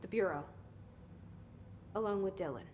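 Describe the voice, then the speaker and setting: A woman's voice: medium pitch, lively intonation, moderate pace, darkish tone, a little hiss or hum, lightly acted. An actress playing an earnest, determined young woman in a video game, sincere and understated in delivery. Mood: haunted, earnest. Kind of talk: video game cutscene acting.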